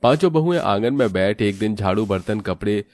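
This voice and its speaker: A man with a low voice tells a scripted cartoon story. The sound is close and dry, as a narrator's studio track is. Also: animated, a little fast, a little mellow, no echo.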